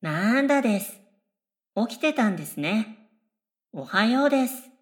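A woman's voice: on the high side, big pitch swings, a little slow. A woman as a blithe, polite schoolgirl. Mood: blithely chatty. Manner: polite speech, blithely confident non sequiturs. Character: airy, chatty middle school girl with odd logic. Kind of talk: radio drama dialogue.

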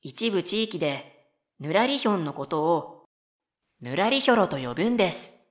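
A woman voicing a polite, whimsical and stubborn middle-school girl in a comedic radio drama, deadpan and following her own rhythm. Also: fairly low pitch, moderate pace. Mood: earnestly deadpan. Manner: polite speech, deadpan, self-paced.

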